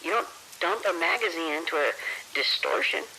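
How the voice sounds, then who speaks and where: Man acting jittery, sharp-voiced frightened guard. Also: on the high side, rough recording. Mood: rattled. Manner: nervous, halting, stammering. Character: frightened, jittery male security officer. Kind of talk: survival horror audio drama acting. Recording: radio ambience with rain-like noise.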